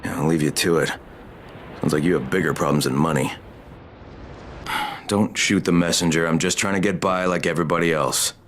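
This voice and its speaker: Blunt, low-voiced game hero. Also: male voice, fairly quick, long pauses, a bit bright, slight background noise. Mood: matter-of-fact. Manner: blunt, wry, tough-talking. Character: gruff, weary male undercover operative, reluctant enforcer. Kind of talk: video game cutscene acting.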